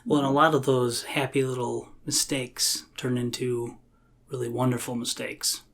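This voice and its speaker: A man's voice: medium pitch, little pitch movement, moderate pace, vocal fry, fairly bright tone. A man co-hosting a podcast, speaking in casual conversational discussion. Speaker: podcast co-host.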